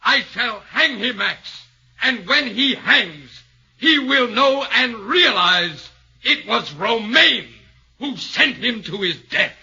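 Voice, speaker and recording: Forceful, rough-edged lawyer, bright and theatrical. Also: male voice, very high voice, lively intonation, slow, exaggerated voice. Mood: dramatic reading. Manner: oratorical, insistent, formal. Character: forceful defence lawyer, dignified man, theatrical in court. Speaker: radio actor. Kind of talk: 1940s radio acting. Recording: dull 1940s radio recording.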